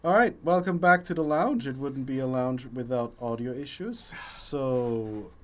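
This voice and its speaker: A man hosting a casual livestream chat, talking haltingly with many fillers and restarts, his voice strained and deeper from a long illness. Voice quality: deeper, rough voice. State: voice strained from a long lung infection. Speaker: livestream host.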